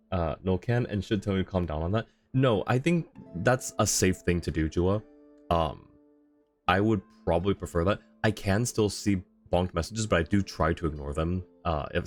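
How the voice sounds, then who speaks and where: A man livestreaming a game with casual, thoughtful commentary as he chats with viewers, often pausing to find his words. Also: low voice. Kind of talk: casual live commentary, answering chat.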